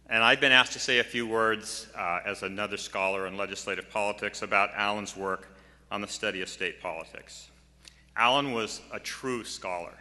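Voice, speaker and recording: Bright, forceful older man in a hall. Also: fairly low pitch. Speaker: political science scholar, memorial speaker. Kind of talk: prepared tribute speech. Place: large music hall, at a memorial event. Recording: reverberant hall sound.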